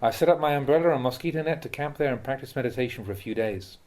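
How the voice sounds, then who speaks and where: A man reads translated Buddhist teachings aloud in a measured, instructive way with clear phrasing. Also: mid-range voice, animated, a little mellow. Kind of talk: audiobook-style reading of spiritual talks.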